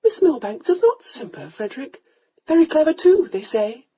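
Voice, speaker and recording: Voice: sits high, big pitch swings, moderate pace, warm tone, pitched above the performer's own voice. A narrator voicing a meek, gushing aristocratic mother, a countess, who frets and apologizes, in a slightly muffled recording.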